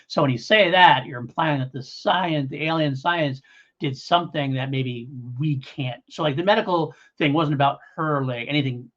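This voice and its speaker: A man's voice: on the high side, moderate pace, a bit bright, highs cut off. A man co-hosting a casual review podcast, explaining things in a rambling, conciliatory way. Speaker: podcast co-host. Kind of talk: casual audio drama review.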